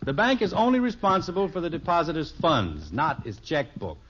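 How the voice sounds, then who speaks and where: A man's voice: on the high side, sing-song, fairly quick, a bit bright, a little hiss or hum. An American actor playing a genial middle-aged father in a 1950s radio sitcom, patiently explaining things with mock formality. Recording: muffled 1950s radio recording.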